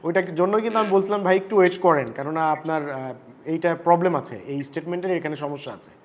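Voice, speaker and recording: A high-voiced man with a Bangladeshi accent speaks in a casual vlog style. He is earnest and polite, and he drops English words into his talk like a consultant advising people. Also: fast talker. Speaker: vlogger and visa consultant.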